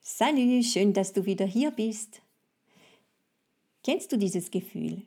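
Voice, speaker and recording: Slow-spoken woman with a French accent. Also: mid-range voice, lively intonation, creaky, dark tone. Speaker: podcast host and French language coach. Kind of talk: scripted podcast lesson, addressing the listener directly.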